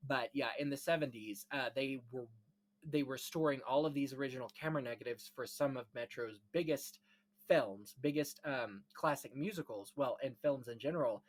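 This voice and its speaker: A man's voice: mid-range voice, moderate pace. A man hosting a casual discussion of film history, explaining things in rambling, enthusiastic detail. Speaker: podcast host.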